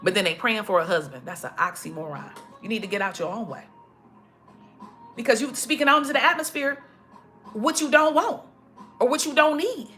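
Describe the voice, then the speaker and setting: Preachy woman with a bright voice. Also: fairly high pitch, brisk, pauses often, soft background music. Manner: preachy, emphatic, repeats herself. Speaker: motivational speaker. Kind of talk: casual motivational talk to followers. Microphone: phone.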